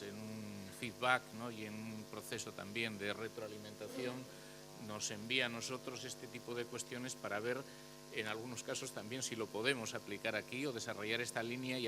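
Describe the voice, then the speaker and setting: A man's voice: on the low side, creaky, noisy background. A man moderating a conference session, introducing the next speaker in a loose, hesitant way with frequent fillers.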